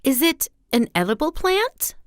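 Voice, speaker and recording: A woman's voice: high voice, sing-song, a little slow, dry recording, clean studio sound. An actress playing a young pregnant mother in a fairy tale cartoon, eager, demanding and theatrical. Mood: eager craving.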